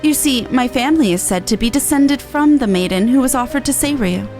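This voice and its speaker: Woman acting a dignified, high-voiced storyteller lady over music. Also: expressive, a little mellow. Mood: gracious. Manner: formal, archaic, measured storytelling delivery. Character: dignified storyteller lady, courteous and formal, reciting old legends.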